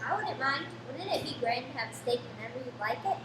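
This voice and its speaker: A woman acting an eager, chatty young boy in a radio play, naive and excitable. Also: high-pitched, fast talker. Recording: echoey room with clear noise.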